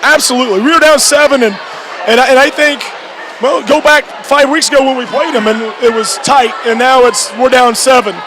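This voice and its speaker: High-pitched, gravelly male coach, crowd noise behind. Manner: repetitive, halting restarts mid-phrase. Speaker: high school basketball coach. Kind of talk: postgame radio interview. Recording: noisy gym with loud crowd behind. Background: crowd and clear background noise.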